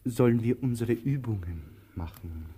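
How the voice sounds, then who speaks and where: An actor playing a restless, talkative tramp in an absurdist radio play, husky and declamatory, pompous in his delivery. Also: medium pitch, animated, normal speed, warm tone. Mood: subdued.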